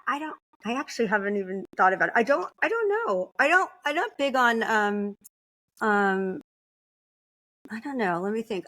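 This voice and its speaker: A woman guest on a podcast, chatting casually and informally, thinking aloud in halting phrases. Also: fairly high pitch, sharp tone. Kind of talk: casual chat.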